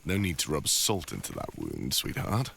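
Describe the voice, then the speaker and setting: A man with a deep British voice playing a devoted, teasing older boyfriend and father in an intimate ASMR audio roleplay. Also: huge pitch swings, moderate pace, gravelly, fairly bright tone, a little hiss or hum, full character voice. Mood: amused, teasing.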